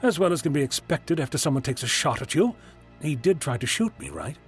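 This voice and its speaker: A genial alien Doctor acted by a man in an audio drama. He chats and lectures whimsically in a lively, swooping voice, with music behind him. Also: a little high, a little fast. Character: genial eccentric alien Doctor, whimsical time-travelling scientist.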